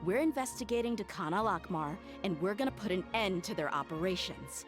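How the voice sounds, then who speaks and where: A woman's voice: mid-range voice, animated, a little fast, lightly acted. An actress playing a tough, blunt, guarded young woman mercenary in a video game, with a firm, cool manner. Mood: firm, threatening. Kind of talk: English video game voice acting. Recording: game music behind the voice.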